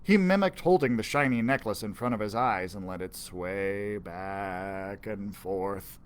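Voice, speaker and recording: A man's voice: fairly low pitch, a little slow, slight background noise. A man reading a children's adventure story aloud as a storyteller. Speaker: library storyteller.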